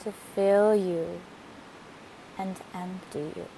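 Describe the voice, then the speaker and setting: A woman leading a guided meditation in a gentle, soothing manner with deliberate phrasing. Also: fairly low pitch. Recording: steady hiss behind the voice. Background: hiss, rushing waterfall water.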